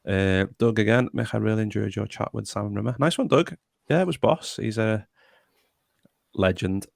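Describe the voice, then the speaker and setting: Deep gravelly Liverpudlian man. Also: normal speed, no echo, clean studio sound. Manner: chatty, reads out viewer comments. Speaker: podcast host. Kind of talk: casual podcast chat.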